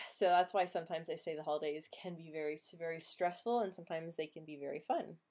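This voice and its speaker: A woman's voice: low voice, a little fast, darkish tone. A woman who stutters, hosting a live stream and casually answering viewers' questions with frequent repeated sounds and blocks. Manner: stutters with repetitions and blocks, laughs often. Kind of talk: casual live chat reading comments.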